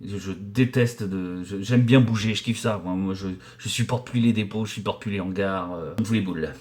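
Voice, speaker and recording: A man's voice: mid-range voice, darkish tone. A man in his forties from the Paris region, a painter and decorator answering viewer questions in a casual, joking, crude and rambling way, with many fillers and restarts. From Paris region, France. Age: 40s. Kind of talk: casual vulgar chat.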